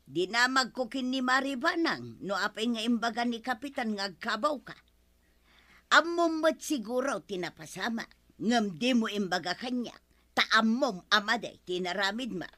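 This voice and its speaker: A woman's voice: on the high side, animated, moderate pace, pushed and bright. A woman with an Ilocano accent playing a nagging village wife in a radio comedy drama, scolding and questioning. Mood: aggrieved.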